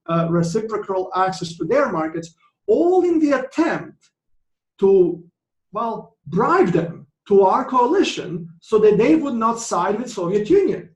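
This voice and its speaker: A man's voice: high voice, roomy sound, poor audio. A man from Croatia with a Croatian accent, giving a conference presentation as an economics professor, lecturing with frequent fillers and restarts. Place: conference hall.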